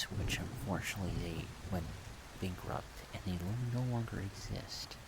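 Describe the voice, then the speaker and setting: A man with a low voice talks in a soft-spoken ASMR ramble. He goes slowly and haltingly, thinking aloud in short phrases. Steady rain-like noise runs clearly behind him. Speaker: ASMR video creator.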